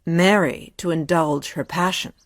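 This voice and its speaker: An actress playing a wry literary lady who narrates a radio drama in a measured, deliberate manner. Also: fairly low pitch, fairly even intonation, dry recording, clean studio sound, close to an everyday voice. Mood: wry, brooding.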